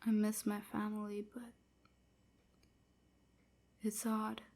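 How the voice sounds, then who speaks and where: A woman playing a melancholy enslaved vampire in an intimate audio roleplay, gentle and confiding, with a breathy, often whispered voice. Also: medium pitch. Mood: wistful. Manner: slow, hesitant, softly confiding.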